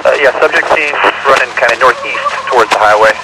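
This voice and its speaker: A man's voice: medium pitch, fast. A man working as a police officer, speaking in clipped, procedural radio traffic over a police radio. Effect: police radio transmission. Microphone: police radio mic. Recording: noisy police scanner radio recording.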